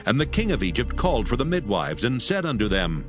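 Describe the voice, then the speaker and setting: A man narrating scripture in a measured, solemn reading. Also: mid-range voice, sing-song, over music.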